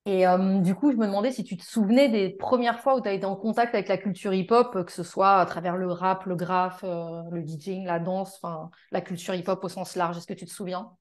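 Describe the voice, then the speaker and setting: Low-pitched woman podcast host. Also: steady tone, brisk, few pauses. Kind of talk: scripted introduction, then casual interview chat.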